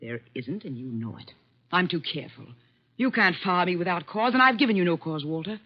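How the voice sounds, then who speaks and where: An actress playing an embittered, emotional secretary in a vintage radio drama, with an intense, melodramatic delivery. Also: female voice, on the low side, lively intonation, brisk. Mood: bitter, defiant. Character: emotional, embittered woman secretary with wounded pride. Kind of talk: 1940s-50s radio drama acting. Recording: dull vintage radio recording.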